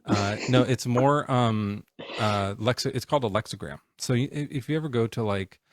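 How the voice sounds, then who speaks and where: A man in his forties hosting a live stream, chatting casually in a halting, rambling way with lots of fillers. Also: mid-range voice, no room sound, studio quality. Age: 40s. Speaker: livestream host.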